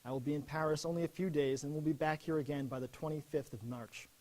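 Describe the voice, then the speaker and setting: A young army officer played by a man reads his letters home in a dramatic historical presentation. His voice sits a little high for a man, and he speaks earnestly and solemnly, with measured grief. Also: fairly even intonation, a little mellow, lightly acted, rough recording. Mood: affectionate, restrained. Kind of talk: dramatic reading of wartime letters.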